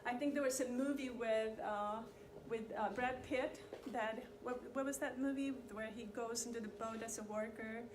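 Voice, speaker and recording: A middle-aged woman, speaking a little higher than most women, walks an audience through history in a loose, conversational way and keeps checking in with them. She is picked up from across an echoey meeting room. Steady background noise sits under her voice. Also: normal speed, few pauses, recorded from a distance. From Chile. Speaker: community presenter on immigration history. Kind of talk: informal lecture to an audience.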